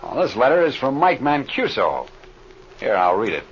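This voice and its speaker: An American actor playing an easygoing, seasoned chief pilot in an old radio serial, folksy and wry. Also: male voice, medium pitch, wildly swooping pitch, moderate pace. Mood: puzzled. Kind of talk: 1930s radio serial acting. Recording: muffled, noisy old radio recording.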